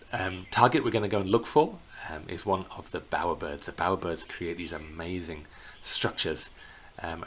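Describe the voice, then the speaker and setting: A middle-aged man giving an unscripted slideshow narration as a tour guide, in a hesitant, halting way, recorded indoors on a computer microphone. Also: deep voice, a bit bright. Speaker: bird tour guide presenting a virtual tour. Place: indoors in a small plain room. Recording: clear background noise.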